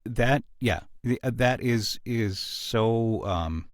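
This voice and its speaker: A man talking casually as a podcast host about creative work, in an earnest, reflective way with halting pauses. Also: mid-range voice, dry recording, studio quality. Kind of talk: casual behind-the-scenes discussion.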